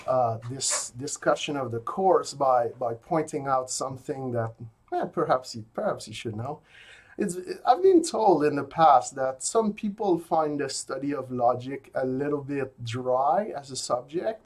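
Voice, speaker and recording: Québécois-accented lecturer, hesitant delivery. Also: male voice, medium pitch, expressive, measured pace, a little mellow. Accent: Québécois French. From rural Quebec. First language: French. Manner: hesitant, many fillers and restarts. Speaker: university logic lecturer. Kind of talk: pre-recorded lecture. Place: university office.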